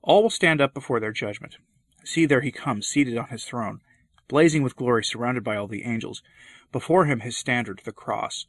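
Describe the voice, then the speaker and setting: A man's voice: medium pitch, moderate pace, a bit bright, dry recording. A man reading a sermon aloud in a solemn, impassioned, old-fashioned declamatory style. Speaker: preacher.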